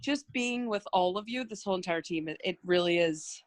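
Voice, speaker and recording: A woman speaks softly and haltingly, emotional and close to tears. Her voice is dry and close, with no room sound. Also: fairly low pitch, no echo. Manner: soft, halting. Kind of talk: casual group video chat.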